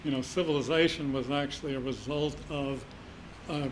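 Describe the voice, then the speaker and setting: A man in the audience talks in a mid-pitched voice, meandering and hesitant, with many fillers. Faint room noise sits under his voice. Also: steady tone, moderate pace. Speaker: audience member. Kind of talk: casual question from the audience. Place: community room.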